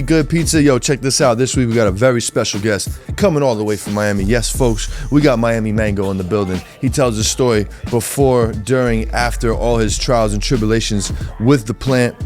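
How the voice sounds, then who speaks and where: A man's voice: medium pitch, a little fast, hardly any pauses, a little mellow, soft background music. A man hosting a podcast, hyped and slangy, encouraging his guest. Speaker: podcast host. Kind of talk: casual podcast interview.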